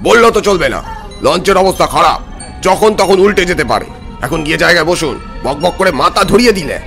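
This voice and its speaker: A man playing a brusque, argumentative ferry-side local in an animated story, with a blustering manner. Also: sits high, brisk, vocal fry, faint music behind, full character voice. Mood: annoyed.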